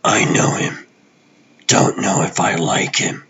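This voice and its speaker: A man voicing a gruff, half-crazy vigilante superhero in a comic dub, terse and deadpan. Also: low-pitched, takes it slowly, dull audio, pitched below the performer's own voice, heavily acted. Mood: terse, dry.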